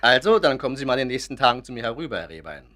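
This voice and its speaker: An actor playing a polite but blunt doctor in a radio drama, measured, matter-of-fact and sure of himself. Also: male voice, mid-range voice, big pitch swings, pushed and bright. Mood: polite, businesslike. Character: polite, blunt doctor, crusader against illusions. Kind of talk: German radio drama acting.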